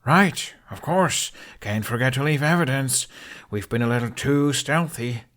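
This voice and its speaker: A man's voice: mid-range voice, measured pace, fairly bright tone, pitched above the performer's own voice, natural voice. A sarcastic young dragon rider grumbles with dry wit, as a male reader puts him on. His lines are drawn out and exasperated, in a fan audiobook.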